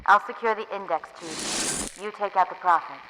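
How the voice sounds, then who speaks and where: A woman playing a cool, decisive military commander in a video game, crisp and authoritative. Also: lowish voice, moderate pace, background noise, close to an everyday voice. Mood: composed, decisive. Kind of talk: video game cutscene acting.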